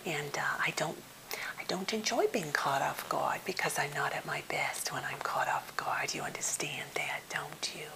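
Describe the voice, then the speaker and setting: A woman playing an anxious, well-meaning, slightly odd therapist held captive, in a breathy whisper with a halting, fussily polite manner, for an ASMR role play. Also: deep voice, pushed and bright, full character voice. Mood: quietly reproachful. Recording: hissy, noisy home recording.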